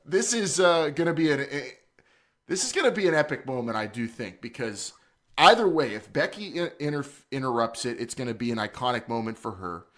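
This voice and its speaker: A man's voice: medium pitch, expressive, brisk, a bit bright. This man hosts a casual wrestling chat show with a mid-range voice. He jokes around, rambles and restarts his sentences often. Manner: playful, joking, rambling with restarts. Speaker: podcast host.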